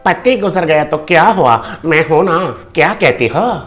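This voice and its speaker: An actor playing a greedy, lecherous rich village president, an insinuating and domineering villain in an animated moral story. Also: male voice, on the high side, a little slow. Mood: oily, coaxing.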